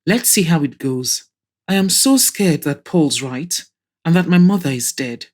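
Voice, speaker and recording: A woman's voice: deep voice, expressive, measured pace, darkish tone. Woman reading as an anxious, hopeful young woman. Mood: chatty. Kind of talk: audio drama reading.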